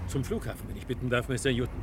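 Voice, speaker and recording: Guy doing a formal tired-of-it lawyer, precise and polite, old radio drama, loud car sound behind. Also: medium pitch, fairly even intonation, very fast, close to an everyday voice. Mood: resigned. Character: resigned, formal, dutiful male defence lawyer. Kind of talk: 1960s radio crime drama acting. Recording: old radio play, clear car noise.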